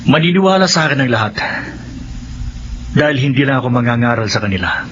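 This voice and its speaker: A man's voice: medium pitch, expressive, fast, fairly bright tone, natural voice. An actor playing a solemn resurrected man who preaches and heals with grave certainty, in a Filipino radio drama. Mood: zealous, certain. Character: solemn resurrected husband turned self-assured preacher and healer. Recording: dull, noisy radio drama audio.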